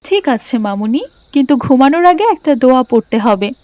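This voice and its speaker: A woman playing a gentle, devout, long-suffering mother in a moral cartoon, tender and preachy. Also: high voice, expressive, normal speed, no room sound. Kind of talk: Islamic moral cartoon voice acting. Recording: muffled, with music behind.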